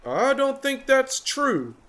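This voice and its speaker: A woman's voice: sits high, sing-song, takes it slowly, fairly bright tone, voice pushed up, full character voice. A woman voicing a doubtful, unimpressed water buffalo in a children's storybook, drawing out the words.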